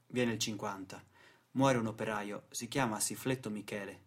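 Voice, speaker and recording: A man's voice: lowish voice, fairly even intonation, normal speed. A man delivering dramatic scripted narration for radio theatre in a solemn, theatrical manner, with short weighted phrases. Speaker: narrator and stage actor.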